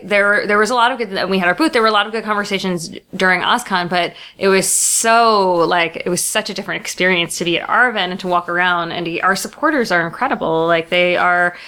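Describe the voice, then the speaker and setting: A woman from Long Island co-hosting a podcast in casual, chatty talk, halting now and then on fillers. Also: on the low side, gravelly, sharp tone. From Long Island, New York. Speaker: podcast co-host. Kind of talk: casual chat.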